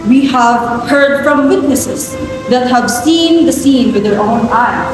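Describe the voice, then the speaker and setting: A woman's voice: high voice, slow. A young woman with a Filipino accent playing a solemn prosecutor addressing a jury in an amateur play, in a very echoey hall. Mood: solemn, earnest. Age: young adult. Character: solemn, deliberate female prosecutor making closing arguments. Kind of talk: amateur stage courtroom drama, oratory. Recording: very echoey hall, music behind.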